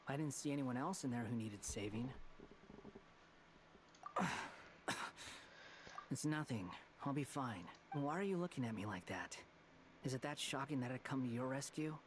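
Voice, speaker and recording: A man's voice: medium pitch, normal speed, long pauses, slight background noise, lightly acted. A man voicing a prickly, aloof young man in a video game, speaking in a dry, curt manner. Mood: aloof, grim.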